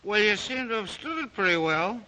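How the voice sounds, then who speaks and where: A man's voice: high-pitched, animated, fairly quick, pushed and bright, heavily acted, low-quality recording. An actor playing a flippant, wisecracking male guest, teasing and slangy in an old 1930s comic style. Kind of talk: 1930s film-era comic relief acting.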